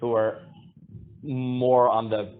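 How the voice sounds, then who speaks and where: A man leads a casual Torah class in a booming voice. He halts and restarts often, reading Hebrew text aloud before translating it. The sound is thin and tinny, like a phone line. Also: flat delivery. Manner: halting, many fillers and restarts. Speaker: rabbi teaching a class.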